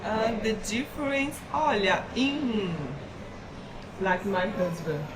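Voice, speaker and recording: Woman with a Brazilian accent, very slow, halting English, echoey noisy room. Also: mid-range voice, expressive, crowd noise. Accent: Brazilian Portuguese. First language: Portuguese. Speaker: guest's wife. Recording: echoey room with background noise.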